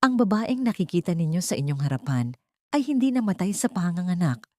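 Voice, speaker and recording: A woman narrator plays a wronged young heiress in an audio drama story. She is proud and vengeful, declaiming with authority in a low, widely swinging voice, recorded very dry. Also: very animated, fairly quick, mellow, no room sound. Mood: commanding, accusing.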